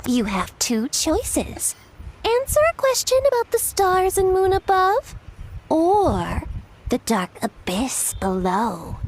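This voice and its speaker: Pompous, scornful talking mushroom. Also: female voice, extremely high pitch, very animated, slow pace, a little hiss or hum, heavily acted, rough recording. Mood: smug, scornful. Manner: pompous, theatrical, condescending. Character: pompous mock-wise talking mushroom, scornful riddle keeper. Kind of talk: video game voice acting. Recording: loud background music. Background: game music.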